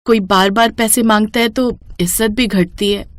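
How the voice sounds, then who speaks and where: A woman's voice: medium pitch, fairly even intonation, normal speed, close to an everyday voice. A woman voicing a respectful, apologetic young daughter-in-law who speaks deferentially in a moral-story cartoon. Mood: pleading, weary.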